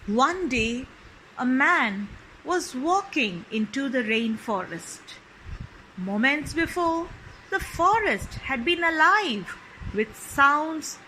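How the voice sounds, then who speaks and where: Slow, high-voiced woman reading a storybook. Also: sing-song, pushed and bright, slight background noise, rough recording. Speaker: children's storyteller. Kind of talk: expressive scripted storybook narration.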